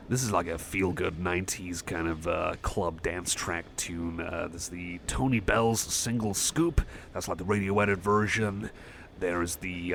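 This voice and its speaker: A shy cyborg chum, played by a man, speaks in a very low voice full of gravelly fry. He rambles softly and hesitantly in a friendly, self-effacing ASMR roleplay style. Clear background noise sits behind the voice. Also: full character voice. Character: shy, friendly young male cyborg music fan. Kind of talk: soft casual ASMR roleplay chat.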